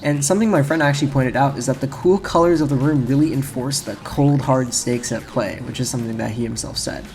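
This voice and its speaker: A man's voice: mid-range voice, a little fast, hardly any pauses, darkish tone. A man giving casual, rambling video essay commentary on a video game, speaking informally and correcting himself as he goes. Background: game music.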